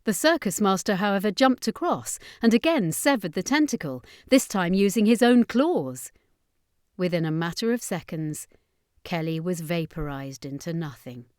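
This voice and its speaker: A woman's voice: lowish voice, expressive, normal speed, a little mellow, dry recording, clean studio sound. A woman reading a horror fantasy story as scripted audiobook narration.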